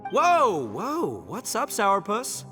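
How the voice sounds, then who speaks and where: A man's voice: sits high, wildly swooping pitch, slow pace, pushed and bright, faint music behind, full character voice. A man voicing a brash, childish young gang boss in a video game, bombastic and stammering with whiny flair. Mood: blustering. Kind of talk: video game character acting.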